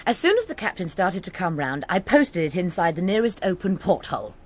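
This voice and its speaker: A woman playing a brisk, breathy young heroine. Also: lowish voice, very animated. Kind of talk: radio drama acting.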